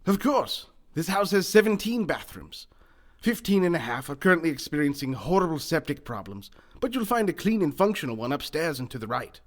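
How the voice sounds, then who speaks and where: An actor playing a pompous, wealthy newspaper boss with a grandiose, theatrical manner in a comedic radio play. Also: male voice, a little high, sing-song, brisk. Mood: grandly hospitable.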